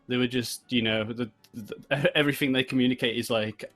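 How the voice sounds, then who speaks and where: A man's voice: medium pitch, steady tone, a little fast, a bit bright, soft background music. A British man chatting casually as a podcast host in a rambling, jokey way. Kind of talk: casual chat.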